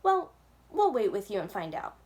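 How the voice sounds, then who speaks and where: A woman's voice: mid-range voice, sing-song, moderate pace, a little mellow, close to an everyday voice. A young boy who is loyal and a little bossy, voiced by a woman reading aloud. He is a protective big brother in a children's storybook and speaks very directly. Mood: reassuring.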